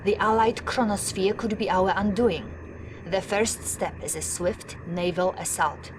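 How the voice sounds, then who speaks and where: A woman's voice: medium pitch, fairly even intonation, measured pace, noisy background, close to an everyday voice. An actress playing a loyal, earnest female Soviet military aide in a video game cutscene, grave and deferential. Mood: cautioning.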